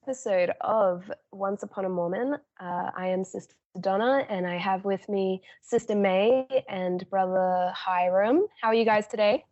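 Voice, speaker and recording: A woman's voice: mid-range voice, normal speed, few pauses, a little mellow. A woman hosts a chatty podcast with a blocked-up, cold-congested voice. She talks in a friendly but hesitant way, full of ums. The recording sounds a little dull and muffled. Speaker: podcast host. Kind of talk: casual podcast chat.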